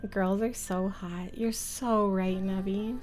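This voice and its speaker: A woman's voice: mid-range voice, steady tone, slow, darkish tone, faint music behind. A woman livestreamer reading a visual novel aloud and chatting casually, with a playful, affectionate manner. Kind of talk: casual stream chat and read-aloud narration.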